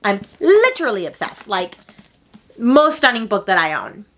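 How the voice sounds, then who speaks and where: A woman's voice: mid-range voice, wildly swooping pitch, moderate pace, fairly bright tone. A woman book vlogger chatting casually to camera in a gushing, emphatic, slangy way with dramatic exaggeration. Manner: slangy, informal with frequent "like" and "okay".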